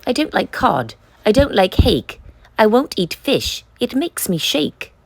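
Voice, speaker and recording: Woman playing a fussy, husky-voiced little girl. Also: fairly high pitch, sing-song, unhurried, no room sound, voice pushed up, heavily acted. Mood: disgusted, defiant. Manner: emphatic, complaining, rhyming protests. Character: fussy, stubborn little girl refusing food. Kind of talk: children's picture book read-aloud.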